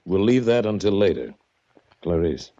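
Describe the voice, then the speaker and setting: A man's voice: low-pitched, animated, moderate pace, warm tone. An actor playing a shifty male conspirator in a 1940s radio mystery drama. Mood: evasive. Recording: muffled 1940s radio recording.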